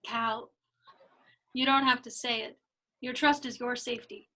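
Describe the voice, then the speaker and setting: A woman playing a composed, astute superhero warrior in a script table read, with a measured, serious delivery. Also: on the high side, steady tone, pushed and bright. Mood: reassuring.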